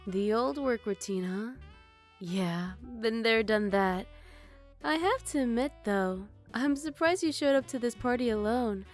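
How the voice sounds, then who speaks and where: A woman's voice: a little high, expressive, measured pace, soft background music. A woman playing a teasing former babysitter.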